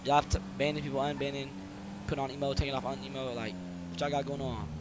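A man's voice: medium pitch, steady tone, fast. A man streaming a game, chatting casually and role-playing with friends in slangy, sweary talk. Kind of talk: casual gaming chat, role-play banter. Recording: noisy game audio with vehicle sounds.

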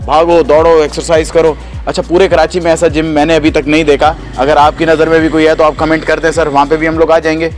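A man's voice: on the high side, little pitch movement, quick pace, few pauses, faint music behind. A man, chatting enthusiastically while touring a gym at night and asking questions. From Pakistan. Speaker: vlogger reviewing a gym. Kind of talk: casual walking vlog tour. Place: outdoor and indoor gym at night. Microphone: handheld phone mic.

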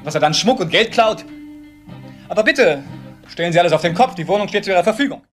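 An actor playing a protective, defiant brother in a crime radio play, arguing indignantly. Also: male voice, sits high, lively intonation, fast, soft background music. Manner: argumentative, indignant, answering for others. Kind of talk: German crime radio drama dialogue.

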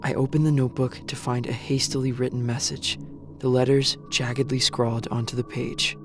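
An actor playing a sombre young male survivor, narrating his story in a measured, serious way for an audio drama. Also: mid-range voice, flat delivery, lightly acted. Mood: brooding. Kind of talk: scripted first-person drama narration. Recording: music bed behind narration.

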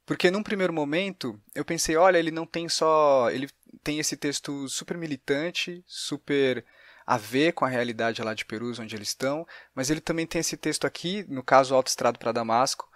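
A man with a Brazilian accent speaks as a podcast interviewer in a conversational style. His pitch is average and the sound is dry, with no room on it. He works through his thoughts at length on the way to each question. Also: fairly bright tone, no echo.

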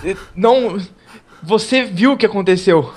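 A woman playing a panicked father in an acted drama, urgently shouting repeated commands to protect a child. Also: mid-range voice, normal speed, natural voice.

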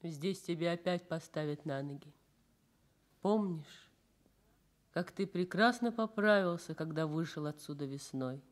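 A woman's voice: sits low, measured pace. An actress playing a devoted, anxious young nurse caring for her sick husband in a Soviet radio drama. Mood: tender, consoling.

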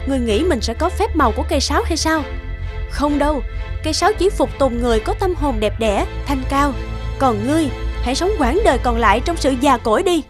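A woman's voice: high-pitched, lively intonation, brisk, music in the background. A woman playing a stern, righteous moon fairy in a cartoon, declaiming solemnly in judgment. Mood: stern, accusing.

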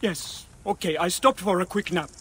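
An actor playing a flustered, defensive beer delivery man in a video game, excitable and whiny. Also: high voice, moderate pace, a little hiss or hum. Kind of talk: video game cutscene acting.

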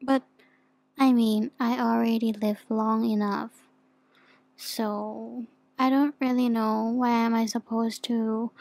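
A woman acts out a timid young female demon in an ASMR roleplay. Her voice is soft and fairly high, sometimes whispery, in a close, dry recording. She speaks hesitantly and very slowly, stumbling shyly over her words. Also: no echo. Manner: hesitant, stammering, apologetic. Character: soft, shy, flustered young female demon offering contracts.

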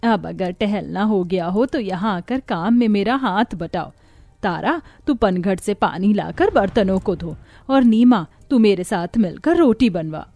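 A woman's voice: mid-range voice, lively intonation, unhurried, a little mellow, no echo, soft background music. A woman voicing a stern, bossy village mother in a cartoon story, giving commands.

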